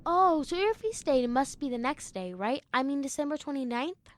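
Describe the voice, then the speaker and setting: A woman's voice: sits high, lively intonation, moderate pace. A woman playing a curious, frank young girl in a children's audio drama, chatty and candid. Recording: music under the voice.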